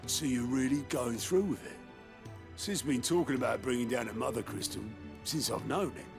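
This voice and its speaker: A man's voice: medium pitch, moderate pace, gravelly, over music, close to an everyday voice. A man playing a roguish, worldly rebel quartermaster in a video game, with a regional English accent and a wry, teasing, colloquial manner. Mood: wry, grumbling.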